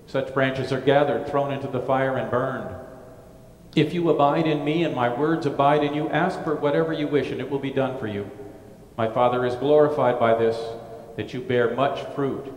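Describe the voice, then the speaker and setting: A middle-aged male pastor giving a gospel reading and sermon in a brick church, chatty and informal, with asides and restarts. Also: mid-range voice. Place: brick-walled church sanctuary. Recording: echoey church with long reverb.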